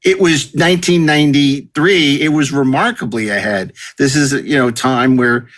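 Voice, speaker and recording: A man with a medium-pitched voice hosts a panel discussion. He talks casually and conversationally, making his points with clear opinions. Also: moderate pace, a bit bright.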